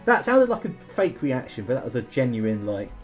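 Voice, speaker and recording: A man's voice: mid-range voice, big pitch swings, brisk, soft background music. A man giving casual game commentary as a host, thinking aloud in questions and chatting to his viewers. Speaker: YouTube gamer host. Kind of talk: casual commentary while playing an online history quiz game.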